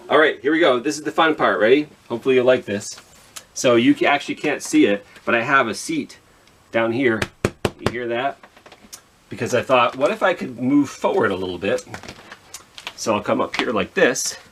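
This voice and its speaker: A man's voice: mid-range voice, fairly bright tone, a little hiss or hum. A man chatting casually to young children as a storytime host, informal and self-deprecating with rambling asides.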